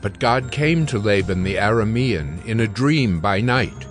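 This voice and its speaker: A man narrating an audio Bible in a measured, storytelling manner. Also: fairly low pitch, lively intonation, faint music behind.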